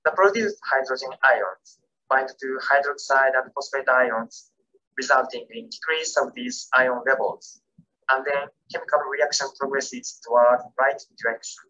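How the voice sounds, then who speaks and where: Bright, husky man reading a lecture. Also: mid-range voice, dull audio. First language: Japanese. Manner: careful, measured reading with non-native grammar. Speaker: keynote speaker. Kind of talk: scripted academic lecture.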